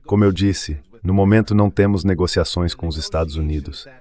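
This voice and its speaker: A man's voice: low-pitched, a little fast, dark tone. A synthetic male AI dubbing voice reading a translated official's formal statement to the media.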